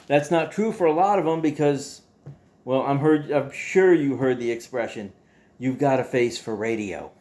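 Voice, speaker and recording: A man hosting a casual monologue to his viewers in a folksy, playful way, halting and repeating himself as he goes. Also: medium pitch, a little mellow. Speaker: host.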